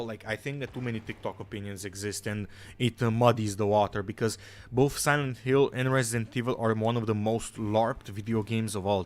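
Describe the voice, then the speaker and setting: A young man from Romania, chatting casually as a gaming streamer, rambling, swearing and saying "bro" a lot. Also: on the low side.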